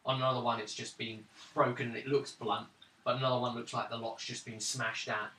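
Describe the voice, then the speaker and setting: Man, game master, halting narration, crisp forward tone, distant mic, light noise. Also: mid-range voice, level tone, bright, forceful tone. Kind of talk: narrating a tabletop roleplaying game. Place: indoors, around a gaming table. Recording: roomy, distant mic, hissy background noise.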